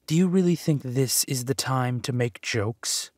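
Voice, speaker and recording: Man as villain duke, audio roleplay, ruthless, possessive, unhurried menace, dry studio sound. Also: medium pitch, darkish tone, no room sound, close to an everyday voice. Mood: angry, menacing. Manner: menacing, slow, deliberate phrasing.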